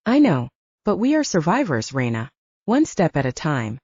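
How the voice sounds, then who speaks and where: A woman's voice: low voice, wildly swooping pitch, moderate pace, darkish tone, husky, no room sound, dull audio, full character voice. A woman as a blunt, protective best friend. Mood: consoling. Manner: encouraging, blunt. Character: loyal, brash female best friend, teasing and protective. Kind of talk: audiobook romance character acting.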